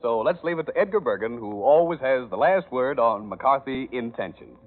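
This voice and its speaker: A man's voice: medium pitch, animated, normal speed, phone-quality sound. A man announcing as host of a radio variety show, in scripted 1930s broadcast style.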